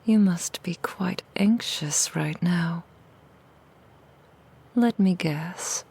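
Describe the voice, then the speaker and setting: A woman voicing a seductive, cruel female demon in an ASMR roleplay, in a slow, breathy whisper full of mocking, intimate taunts. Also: on the low side, a little mellow, full character voice. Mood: cruel taunting.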